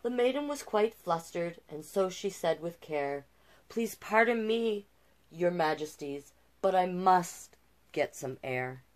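A middle-aged woman reading a children's picture book aloud with expressive delivery and casual asides. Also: on the low side. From Canada. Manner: unhurried, expressive storybook delivery. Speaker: storytime host and drag king. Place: small cluttered room indoors.

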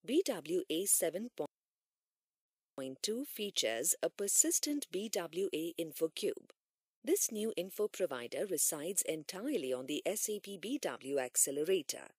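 A woman reading scripted instructional narration in a measured, formal manner, as for an e-learning course. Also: fairly low pitch, big pitch swings, darkish tone, slightly rough, no echo, clean studio sound.

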